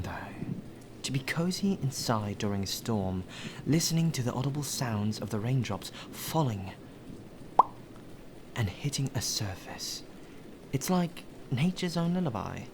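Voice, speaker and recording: Guy doing a polite butler asmr roleplay, real slow talking, mid pitched, very proper and humble, rain noise behind him. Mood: soothed, wistful. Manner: formal, flowery, softly polite phrasing. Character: courteous, well-spoken male butler, deferential and appreciative. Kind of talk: ASMR roleplay acting, addressed to the listener. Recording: rain ambience and clear background noise.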